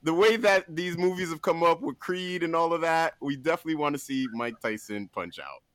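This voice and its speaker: A man talking casually and comedically as a podcast guest, pitching a list with an emphatic, playful showman delivery. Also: fairly quick, fairly bright tone, dry recording.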